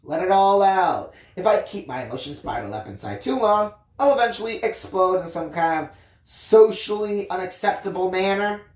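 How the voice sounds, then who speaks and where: A storyteller reading a first-person tale expressively, with theatrical emphasis and drawn-out phrases. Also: mid-range voice, expressive, reverberant. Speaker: audiobook reader, story time host.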